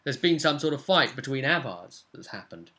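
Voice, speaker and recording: Young male game master, forceful bright tone, medium pitch, informal session recap. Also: very animated, normal speed. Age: young adult. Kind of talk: casual recap of a tabletop role-playing session. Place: small room at home, indoors.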